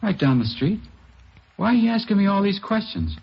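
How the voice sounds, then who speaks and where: A man's voice: high-pitched, big pitch swings, normal speed, gravelly, slightly rough, full character voice. Casting: young male drifter suspect, sullen and evasive, lightish voice, old muffled radio sound. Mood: wary, defensive. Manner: sullen, guarded, short answers. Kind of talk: 1950s radio police drama acting. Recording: dull, noisy vintage radio recording.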